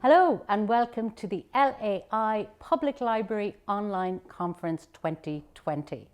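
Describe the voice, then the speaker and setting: A woman's voice: mid-range voice, animated, normal speed, darkish tone, highs cut off, soft background music. A woman from Ireland hosting an online interview, asking friendly, leading questions over a video call. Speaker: interviewer and host.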